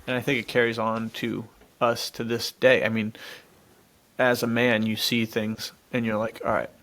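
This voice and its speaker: A man co-hosting a podcast, chatting casually and excitably in informal bro-ish slang. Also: mid-range voice, moderate pace. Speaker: podcast co-host. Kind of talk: casual enthusiastic chat about adventure stories.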